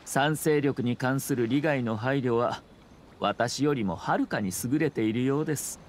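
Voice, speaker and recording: A man playing a refined young noble commissioner in a Japanese anime game, speaking calm, formal words laced with veiled sarcasm. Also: mid-range voice, unhurried, close to an everyday voice. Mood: cool, ironic. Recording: game dialogue over ambient scene noise. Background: game scene ambience.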